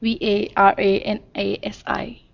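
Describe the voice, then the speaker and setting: A woman's voice: medium pitch, flat delivery, unhurried, vocal fry, lo-fi sound. A woman from northern India with an Indian accent, chattily answering interview questions at length. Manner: chatty, explains at length with fillers. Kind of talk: casual conversational answers.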